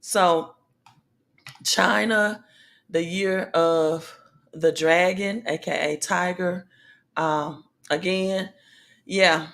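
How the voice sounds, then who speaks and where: A woman with a slightly raspy, lowish voice hosts a casual livestream, speaking directly to her viewers. She talks very slowly, pausing often and leaning on fillers as she works through the news. Her delivery is halting and conversational rather than scripted. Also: fairly even intonation, a bit bright. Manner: slow, halting delivery with many pauses and fillers. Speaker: livestream host.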